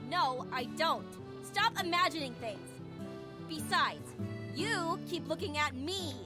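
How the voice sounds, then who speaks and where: Casting: defensive, easily embarrassed young female student for a visual novel game; high, swooping voice, music behind. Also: lively intonation, takes it slowly.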